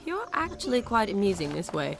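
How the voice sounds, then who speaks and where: Composed, wry young game companion. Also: female voice, mid-range voice, wildly swooping pitch, brisk, vocal fry. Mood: wry, amused. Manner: polite, gently teasing. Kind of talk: video game character acting. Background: music.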